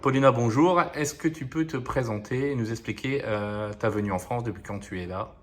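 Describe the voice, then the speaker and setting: A man's voice: medium pitch, slight background noise. A male journalist asking an interviewee short, informal questions. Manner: brisk, informal questions with soft back-channel murmurs.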